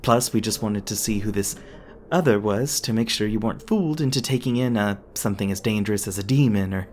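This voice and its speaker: A man's voice: fairly low pitch, fairly quick, darkish tone, slight background noise, natural voice. A man playing a smug, officious cult agent in an audio roleplay, wordy and falsely polite. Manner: pompous, wordy, mock-polite. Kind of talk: ASMR audio roleplay acting.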